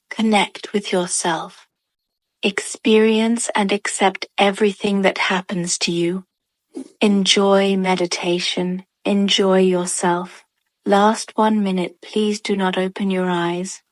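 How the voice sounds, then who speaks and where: A woman's voice: medium pitch, level tone, slow, no echo. A woman narrating a guided meditation in a soothing, instructional way.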